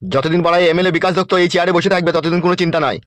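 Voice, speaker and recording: A man playing a scheming, lecherous politician in a comedy cartoon, crude and smooth-talking, with a West Bengal Bengali accent. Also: sits high, flat delivery, rapid-fire, fairly bright tone, no room sound. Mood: bossy, crude.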